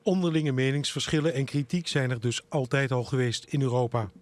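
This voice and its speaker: A man's voice: medium pitch, brisk. A Dutch man reading scripted news narration as a reporter.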